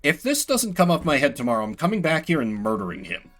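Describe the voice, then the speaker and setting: A man's voice: on the high side, wildly swooping pitch, fast talker, fairly bright tone, soft background music. A man streaming a video game with casual, wry, joking commentary, thinking aloud as he plays.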